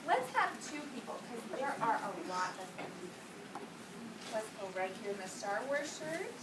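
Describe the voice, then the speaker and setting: High-voiced woman teacher in an echoey classroom. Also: big pitch swings, moderate pace. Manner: questioning, coaxing children to answer. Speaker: music teacher. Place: school classroom. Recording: echoey classroom from far off with loud noise.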